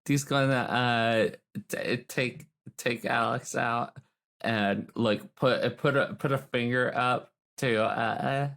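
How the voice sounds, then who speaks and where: Casting: woman tabletop player, low gravelly fry, casual improvised dialogue, insistent. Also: steady tone, takes it slowly, a bit bright. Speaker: player in a tabletop roleplaying game. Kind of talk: casual in-character improvised chat.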